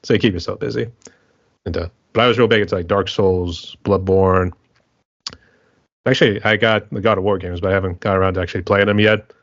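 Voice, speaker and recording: A man's voice: deep voice, fairly even intonation, brisk, no room sound, dull audio. An American man hosting a podcast, asking loose, rambling questions in a casual chat. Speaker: podcast host.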